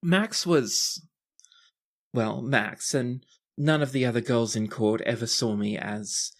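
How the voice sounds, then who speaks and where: A man's voice: medium pitch, slow. A man voicing an earnest, bubbly young princess with formal courtly phrasing and a streak of mischief, in a tabletop game. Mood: eager, warm.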